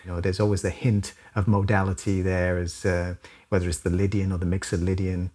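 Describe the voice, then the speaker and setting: A man from South Wales, calmly breaking down chords and modes in a music lesson. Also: low voice, a little fast. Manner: relaxed, thoughtful, casual with fillers. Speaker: music teacher. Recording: song playing loudly behind.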